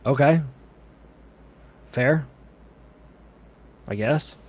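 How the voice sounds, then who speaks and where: A man's voice: mid-range voice, drawn-out words, heavy background noise. A man hosting a reaction video, giving casual, exclamatory commentary full of slang.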